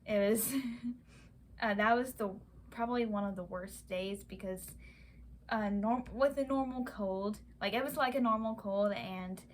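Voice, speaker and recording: A young woman vlogger telling a personal story in a halting way, with many fillers and restarts. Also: mid-range voice, a little hiss or hum. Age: young adult. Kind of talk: casual personal account.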